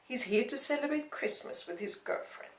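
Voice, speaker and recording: A woman's voice: sits high, animated, moderate pace, distant mic, pitched above the performer's own voice. A woman voicing a cheerful, chatty, meddling elderly grandmother in a storybook reading. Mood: triumphant. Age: older. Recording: roomy, echoing voice recording.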